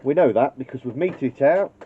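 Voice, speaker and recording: A man's voice: mid-range voice, lively intonation, quick pace, husky. A man casually demonstrating how to wire a radio, thinking aloud and joking at his own expense. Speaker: CB radio technician. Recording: dull lo-fi recording.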